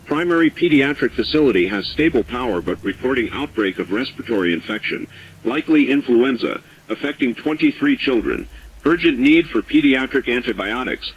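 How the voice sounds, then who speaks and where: A man's voice: medium pitch, animated, brisk. A man playing a sober underground clinic operator in an audio drama, giving terse, formal, factual reports over a radio filter. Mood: grave, factual. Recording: dull radio signal with faint noise.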